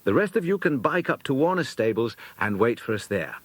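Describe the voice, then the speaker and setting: A man's voice: mid-range voice, very animated, fairly quick, slightly rough, no room sound, pitched above the performer's own voice. A man voicing an eager, polite, decisive British schoolboy detective with old-fashioned manners in a children's audiobook.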